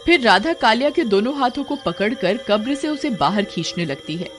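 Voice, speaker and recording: A woman's voice: a little high, expressive, moderate pace. A woman narrating a scripted cartoon horror story as the storyteller. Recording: music behind the voice.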